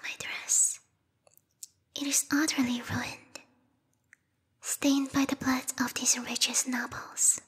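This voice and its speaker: A woman voicing a lethal yandere vampire bat girl, a queen's knight with formal, theatrical speech, in a breathy whispered ASMR roleplay. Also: sits high, flat delivery, slow pace, long pauses, exaggerated voice. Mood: distraught. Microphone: close binaural microphone. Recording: reverberant hall-like echo.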